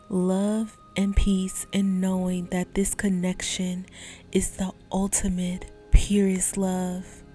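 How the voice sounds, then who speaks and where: A woman guiding a visualization meditation in a soft, slow, soothing voice, often dropping to a whisper. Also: medium pitch. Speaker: meditation guide.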